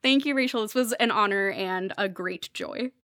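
A woman's voice: medium pitch, moderate pace. A woman speaking as a podcast guest, giving thoughtful conversational commentary in an earnest, reflective and emphatic way.

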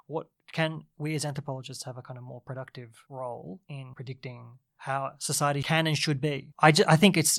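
Gravelly thoughtful man. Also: medium pitch. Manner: thoughtful, hesitant restarts. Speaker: podcast co-host, anthropologist. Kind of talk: casual academic discussion.

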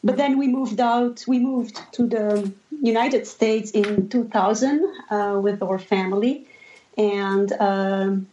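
A woman from Belgium whose first language is French, speaking English with a Belgian French accent as a cookbook author guest on a podcast. She is eager and pauses often. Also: mid-range voice, steady tone, warm tone, lo-fi sound, slight background noise. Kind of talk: podcast interview.

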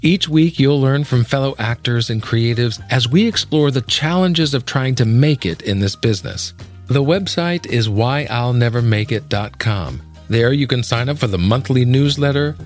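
A middle-aged man speaks as a podcast host. His delivery is polished and articulate, suited to scripted introductions and interviews. His pitch is mid-range, and there is faint music behind him. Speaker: podcast host, actor and singer.

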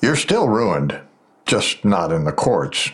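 An actor playing a vindictive, bitter investigator in an audio drama, menacing and accusatory. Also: male voice, low voice, big pitch swings, slow, fairly bright tone, exaggerated voice. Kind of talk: full-cast audio drama acting.

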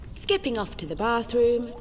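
A woman voicing a calm, controlling female AI assistant that gives precise, soothing commands in an audio drama. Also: fairly high pitch, normal speed. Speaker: narrator and AI voice.

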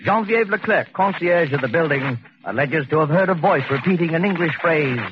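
An actor playing a grave storyteller, reading a report in measured, dramatic 1940s radio narration. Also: male voice, a little high, animated, lightly acted. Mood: grave, formal. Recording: muffled, noisy 1940s radio recording.

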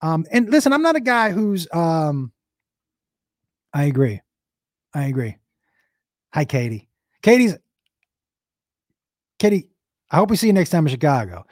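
Man, hoarse, halting, rambling, lively pitch, fairly high voice. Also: dry recording, studio quality. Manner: rambling, halting, many restarts. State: hoarse, losing his voice. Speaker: host. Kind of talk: casual podcast chat.

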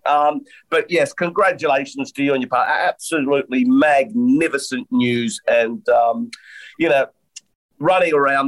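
A man's voice: medium pitch, low-quality recording. A man co-hosting a podcast in casual, blunt, jokey chat, sounding nasal and blocked up. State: congested, stuffy nose. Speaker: podcast co-host and golf commentator.

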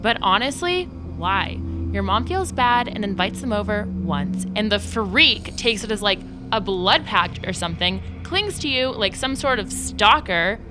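A woman's voice: medium pitch, expressive, measured pace, few pauses, music in the background, close to an everyday voice. An actress playing a smug, scornful young woman, a former popular mean girl, in an audio drama with sarcastic, sneering delivery. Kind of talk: audio drama acting, contemporary dialogue.

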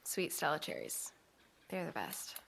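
A woman's voice: low voice, wildly swooping pitch, a little slow, gravelly, fairly bright tone, slight background noise, heavily acted. An actress playing an ambitious small-town American teenage girl at boarding school in an audio drama. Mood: hesitant, self-effacing. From United States. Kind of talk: audio drama acting with first-person narration.

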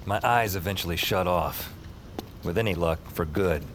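A man's voice: deep voice, normal speed, background noise, lightly acted. An actor playing a world-weary, wisecracking drinker who narrates his own nights in an audio drama, with a sardonic, wry delivery. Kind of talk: first-person audio drama narration and dialogue.

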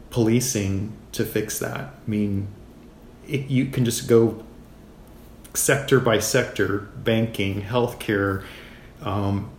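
An American man speaking as an interview guest in a measured, thoughtful way, pausing between short phrases. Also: fairly low pitch, a little mellow. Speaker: podcast guest, physician. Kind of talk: reflective interview talk. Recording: roomy echo, clear background noise.

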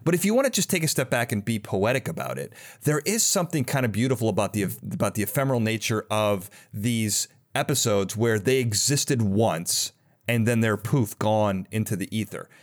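A man's voice: on the low side, lively intonation, normal speed. A man hosting a podcast, talking casually and with enthusiasm in an interview conversation. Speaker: podcast host.

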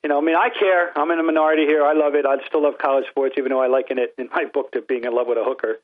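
A man's voice: on the high side, fairly even intonation, very fast, no room sound. Older new england guy on a tinny phone line, rambles, keeps restarting sentences, dry sound. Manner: rambling, many restarts and repeated words. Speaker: guest sportswriter. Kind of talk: casual podcast interview.

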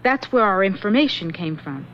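A woman's voice: mid-range voice, sing-song, normal speed, a bit bright. An earnest, sensible young woman. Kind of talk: 1970s radio drama acting. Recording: dull lo-fi radio recording.

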